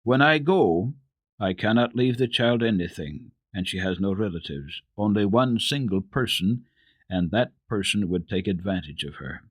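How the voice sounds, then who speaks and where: A man's voice: fairly low pitch, expressive, normal speed, breathy edge, dry recording. A man voicing a decided, earnest old grandfather in a dramatic storybook reading, with emphatic delivery. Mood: solemn, pleading. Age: older. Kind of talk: dramatic reading of children's classic.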